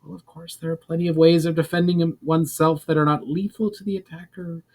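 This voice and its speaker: A man voicing a wise, mysterious woman guide in a fantasy story, explaining in a formal, measured manner. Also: on the high side, flat delivery, a little mellow. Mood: admonishing. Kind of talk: fantasy audiobook dialogue.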